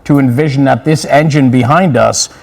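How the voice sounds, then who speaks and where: A man's voice: mid-range voice, normal speed, gravelly, darkish tone, big-room sound. A man hosting a conversational interview, affirming his guest and summing up points with emphasis. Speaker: interviewer, host.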